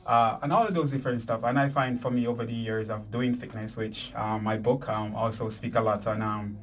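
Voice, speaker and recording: A man's voice: on the low side, steady tone, fairly quick. A man, chatting as a fitness and wellness guest, with lots of fillers and restarts. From Jamaica. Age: 40s. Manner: rambling, with many fillers and restarts. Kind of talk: casual interview chat. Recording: distant mic.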